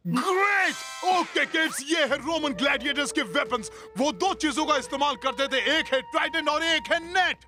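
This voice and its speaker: A man voicing an enthusiastic, excitable dad in a cartoon dub, talking in a theatrical, gushing way. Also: extremely high pitch, moderate pace, few pauses, music in the background. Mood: excited. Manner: theatrical, gushing, indignant when contradicted. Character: enthusiastic, excitable dad chaperoning a museum trip. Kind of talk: Hindi cartoon dubbing.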